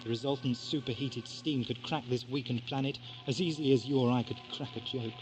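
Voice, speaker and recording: An actor playing an eccentric, theatrical time traveller in an audio drama, brisk and self-mocking. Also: male voice, medium pitch, steady tone, over music, natural voice, low-quality recording. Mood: grave, explaining. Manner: theatrical, expounding, exclamatory. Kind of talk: 1980s amateur audio drama acting.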